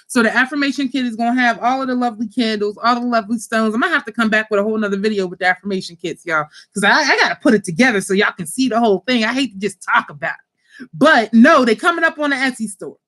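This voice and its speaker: A woman from Pittsburgh hosting a casual livestream about her spiritual kits, animated and chatty, swearing freely and calling her viewers y'all. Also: a little high, fast talker. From Pittsburgh, USA. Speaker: spiritual kit seller and channel host.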